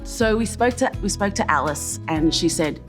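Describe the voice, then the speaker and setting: A woman reflects personally in an interview, sincere and a little halting. Her voice sits fairly low, with guitar music clearly audible underneath. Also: animated, low-quality recording. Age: adult. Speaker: theatre team member.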